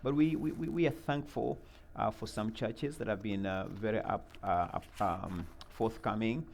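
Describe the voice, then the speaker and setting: A middle-aged man, from Uganda, answering interview questions about a mentoring program in an earnest, measured way, with many fillers and repeated words. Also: fairly low pitch, creaky, darkish tone, a little hiss or hum.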